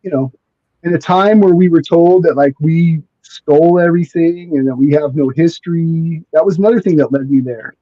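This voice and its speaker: High-voiced mellow man, halting and casual. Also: no room sound. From near Mexico, spent most of life in Mexico. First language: Spanish. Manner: halting, repeats words, laid-back slangy. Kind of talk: casual spiritual conversation. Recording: dull lo-fi sound.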